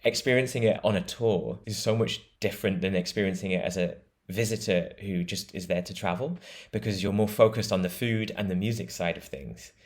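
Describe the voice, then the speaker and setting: A man's voice: low-pitched, a little fast. A British man being interviewed as a travel writer, talking in an enthusiastic, explanatory way and laughing easily. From Britain. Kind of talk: conversational interview.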